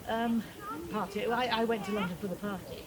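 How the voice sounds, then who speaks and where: A woman's voice: mid-range voice, fairly even intonation, fairly quick. A woman chatting casually in short answers, repeating her phrases. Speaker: interviewee. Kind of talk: casual chat. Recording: loud crowd noise around voice.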